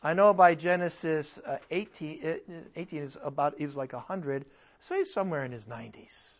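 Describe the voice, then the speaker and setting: A man preaching a sermon with a strained voice, nearly worn out, asking the congregation rhetorical questions and swinging between loud and soft. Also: a little high, moderate pace, highs cut off. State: strained, almost losing his voice. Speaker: pastor.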